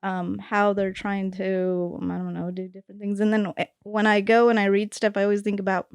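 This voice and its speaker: A woman's voice: medium pitch, level tone, brisk, a little mellow, clean tone, no echo, studio quality. A woman guest on a casual podcast, speaking hesitantly with many fillers as she thinks aloud. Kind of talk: casual conversation.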